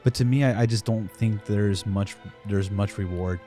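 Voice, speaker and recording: A man's voice: lowish voice, moderate pace, mellow, faint music behind. A man in his thirties chatting casually as a podcast host, rambling and repeating words as he gathers his thoughts. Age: 30s. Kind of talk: casual conversation.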